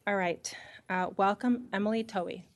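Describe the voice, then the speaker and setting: A woman's voice: medium pitch, steady tone, normal speed. A woman mayor chairing a city council meeting, calling speakers and reading procedural rules politely.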